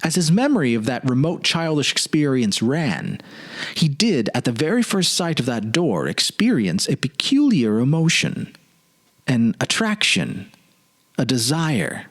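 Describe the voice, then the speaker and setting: A man giving a dramatic reading of a literary story, with deliberate phrasing and expressive pauses. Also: mid-range voice, slightly rough. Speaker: presenter and narrator.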